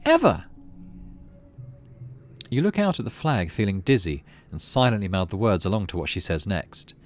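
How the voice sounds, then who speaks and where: A man's voice: low voice, sing-song, brisk. A man hosting a game playthrough, reading story text aloud expressively and adding casual asides. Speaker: gaming channel host.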